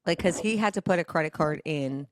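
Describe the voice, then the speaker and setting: Low-voiced woman chatting on a podcast. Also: fairly quick. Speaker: podcast host. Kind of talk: casual chat, storytelling.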